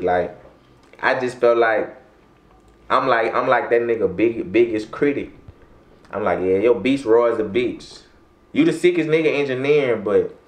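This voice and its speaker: A young man from Louisiana, a rapper talking casually in an interview, rambling and heavy on slang with frequent throat clearing. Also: medium pitch. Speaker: rapper guest. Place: small room, seated in armchair. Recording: echoey room.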